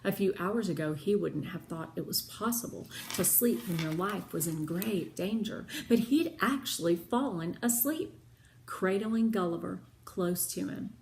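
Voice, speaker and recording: A woman reading a children's storybook aloud in an expressive, dramatic manner, with pondering pauses. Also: fairly low pitch, gravelly, a little hiss or hum. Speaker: read-aloud narrator of children's books.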